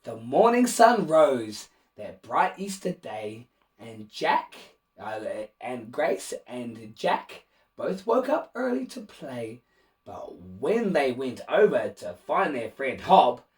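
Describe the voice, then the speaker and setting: Animated man reading a children's story. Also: mid-range voice, slow pace, distant mic. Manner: animated, with asides and questions to young listeners. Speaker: children's storybook reader and host. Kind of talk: expressive read-aloud of a rhyming children's picture book.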